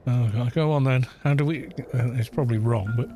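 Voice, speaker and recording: A man's voice: medium pitch, brisk, over music. A man streaming a game, chatting casually in a rambling, self-deprecating way on a close desk mic.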